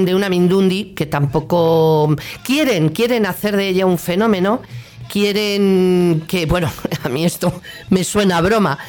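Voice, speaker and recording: A woman with a Castilian Spanish accent hosting a radio show, delivering a political opinion monologue in an indignant, sarcastic, colloquial way. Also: lowish voice.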